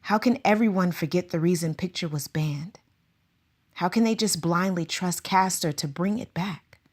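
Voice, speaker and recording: An actress playing a conflicted teacher of circus students, speaking softly and reflectively in an audio drama. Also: female voice, fairly low pitch, normal speed, natural voice. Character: female circus school teacher, conflicted and wistful.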